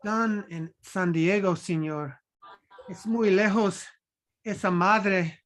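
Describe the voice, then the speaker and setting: A woman's voice: mid-range voice, fairly even intonation, slow pace, close to an everyday voice. A woman playing an anxious, deferential household servant at a play table read, respectful and worried. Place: indoors, on a video call.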